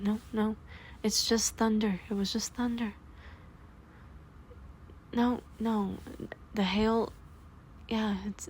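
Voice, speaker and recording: A woman voicing a gentle young man who softly soothes his frightened partner in a hushed, whispery voice, as in a comfort audio roleplay. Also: a little high, slight background noise, pitched above the performer's own voice.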